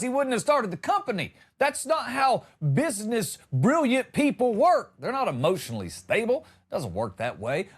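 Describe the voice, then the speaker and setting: A man with a fairly high voice delivers a comic monologue. His pitch moves up and down a great deal as he makes each point. He sounds exasperated and sarcastic, leaning hard on his words like a podcast host on a rant. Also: husky.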